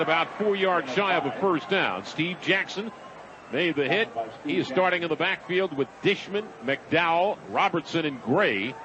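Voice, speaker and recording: Male American football announcer, high register, live radio play-by-play, noisy stadium broadcast. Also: dull audio. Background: stadium noise.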